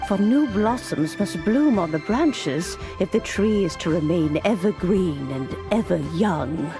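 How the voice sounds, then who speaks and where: An actress voicing an elderly, fond grandmotherly mentor in a game cutscene who talks gently and unhurriedly. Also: lowish voice, lively intonation, music in the background. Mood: serene fond. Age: older. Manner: gentle, unhurried, softly laughing. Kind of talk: video game cutscene voice acting.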